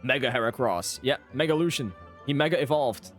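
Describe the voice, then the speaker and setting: A man's voice: medium pitch, brisk, faint music behind. A man giving casual, joking live commentary as a game streamer, often repeating his phrases.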